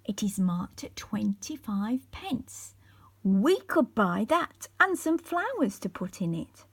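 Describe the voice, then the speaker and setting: A woman voicing an eager, polite young boy with a brisk, decisive manner in a bedtime story. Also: medium pitch, sing-song, husky, exaggerated voice. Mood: excited.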